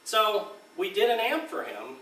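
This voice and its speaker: A man's voice: high-pitched, sing-song, measured pace, bright, forceful tone, a little hiss or hum. A man, an amp designer, telling a rambling story in casual talk, often laughing and acting out what others said. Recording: roomy and distant with faint ambience.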